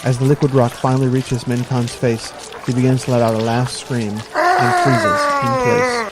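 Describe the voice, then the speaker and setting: A man's voice: medium pitch, sing-song, moderate pace, very noisy. A man narrating a scripted audio drama in a descriptive storytelling manner.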